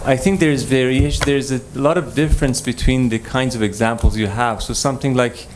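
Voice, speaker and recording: A man's voice: mid-range voice, steady tone, brisk, a little hiss or hum. A man whose first language is Persian, asking a thoughtful, rambling question from the audience at a conference. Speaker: audience member.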